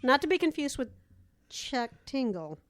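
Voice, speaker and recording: A woman's voice: high voice, big pitch swings, measured pace. A woman co-hosting a comedy podcast, chipping in with wry, casual banter.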